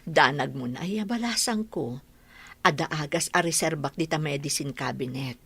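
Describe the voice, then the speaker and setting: A woman with an Ilocano accent playing a sick, gentle middle-aged mother in a radio drama, her voice husky. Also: sits low, moderate pace, no echo, exaggerated voice. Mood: weak, reassuring.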